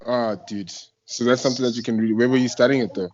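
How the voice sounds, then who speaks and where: A man's voice: medium pitch, steady tone, quick pace, a little mellow, slight background noise. A young South African man hosting a casual radio interview, speaking in an informal, slangy way. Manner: informal, slangy, effusive reactions. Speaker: radio show host. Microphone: internet voice call. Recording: muffled lo-fi internet call.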